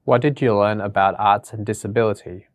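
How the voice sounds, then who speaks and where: Male interviewer, lowish voice, dry sound, plain scripted questions. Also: fairly even intonation, fairly quick, no room sound. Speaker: interviewer and announcer. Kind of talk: scripted questions and title read.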